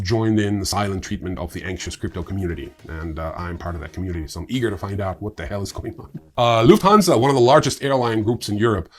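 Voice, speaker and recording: Wry, low-voiced male news presenter. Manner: wry, sardonic. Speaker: news presenter of a crypto newsreel. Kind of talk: scripted news narration with casual asides.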